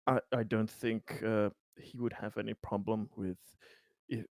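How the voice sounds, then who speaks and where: A man playing an aging, smooth-talking, manipulative politician who flatters and persuades with halting pauses in a tabletop game. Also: lowish voice, no echo, clean studio sound. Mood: flattering persuasion.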